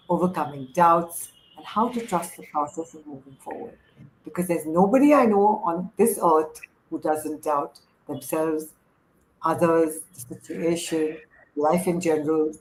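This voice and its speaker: A woman's voice: on the low side, a little mellow. A middle-aged woman with an Indian accent hosting a livestream, speaking emphatically and often stacking phrases into lists as she tells personal stories. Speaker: host, life coach. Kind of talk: casual livestream talk, personal storytelling. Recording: clearly noisy room sound.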